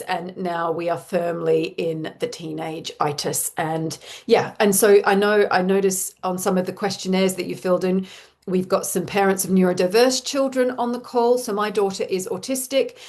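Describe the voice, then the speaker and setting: A woman in her forties with an Australian accent, a parenting coach giving an online workshop in a warm, reassuring, chatty way with fillers. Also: medium pitch.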